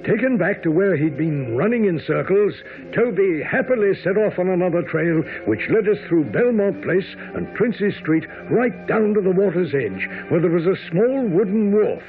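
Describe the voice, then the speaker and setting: A loyal, earnest gentleman narrator in a radio mystery. His voice is high and expressive, over clearly audible music, with a thin broadcast sound. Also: moderate pace, few pauses, narrow, tinny audio. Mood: measured, storytelling. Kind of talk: radio drama narration and acting.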